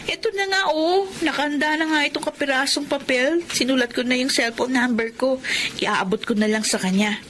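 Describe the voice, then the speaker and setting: High-voiced, sincere young woman in love. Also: moderate pace, a bit bright, slight background noise. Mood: coy, flustered. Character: simple, sincere young woman in love, shy but coquettish. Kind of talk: Filipino radio drama acting.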